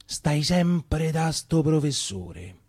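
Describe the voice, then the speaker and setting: A man voicing a suspicious, sharp-tongued old Roman grandmother who scolds and nags in a stage monologue. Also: on the high side, sing-song, drawn-out words, slightly rough, exaggerated voice. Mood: probing, worried. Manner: scolding, nagging, probing. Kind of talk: theatrical monologue acting.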